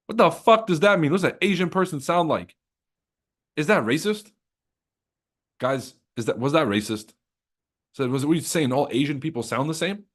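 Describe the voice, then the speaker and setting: A man's voice: on the high side, animated, moderate pace, long pauses, fairly bright tone. Casual, joking male trading streamer. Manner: loose, joking, swears freely, talks to chat. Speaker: trading livestream host. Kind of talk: casual livestream chat.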